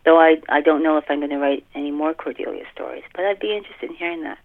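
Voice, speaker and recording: Middle-aged woman, gravelly creak, tinny telephone sound, halting casual interview talk, repeats herself. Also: low-pitched. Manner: halting, repeats and rephrases phrases. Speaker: author being interviewed. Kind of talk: casual podcast conversation. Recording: muffled, tinny telephone line.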